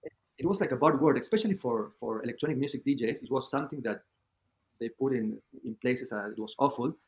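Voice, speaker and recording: A man from Argentina, a guest DJ talking in an animated, filler-heavy way in a casual interview. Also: medium pitch, fast talker. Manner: animated storyteller, many fillers like "like".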